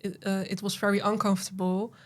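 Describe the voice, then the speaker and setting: A woman speaking as a poet guest in a relaxed interview, answering in halting phrases with many fillers. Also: medium pitch. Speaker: podcast guest, spoken word poet. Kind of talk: casual conversational interview.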